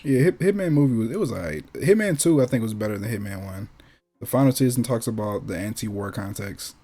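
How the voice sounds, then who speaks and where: A man's voice: fairly low pitch, animated, a little fast, dark tone. Emphatic, slangy man hosting casually. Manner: emphatic, slangy, profane, opinionated. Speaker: host of a gaming talk show. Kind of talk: casual chat about video game movies and anime.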